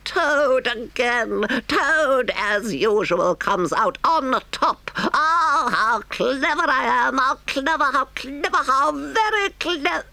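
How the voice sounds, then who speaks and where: A vain, boastful storybook toad, pitched far above a normal male voice. The delivery is theatrical and exclamatory, swooping widely with a bright, forceful edge. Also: wildly swooping pitch, creaky, no echo, full character voice. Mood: gleeful self-admiration. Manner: grandiose, drawn-out, exclamatory delivery.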